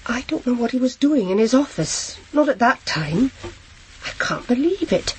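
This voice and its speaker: An actress playing a shocked, hesitant, proper middle-aged housewife who has been widowed, in a naturalistic radio drama. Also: female voice, a little high, big pitch swings, slight background noise. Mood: shocked, bewildered. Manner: halting, flustered, repeats herself. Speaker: actor in a crime drama.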